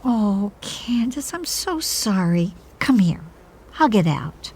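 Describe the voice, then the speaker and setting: A woman's voice: medium pitch, very animated, slow, darkish tone, breathy edge, heavily acted. A woman playing a sympathetic, supportive friend in an audio comedy drama, earnest and consoling.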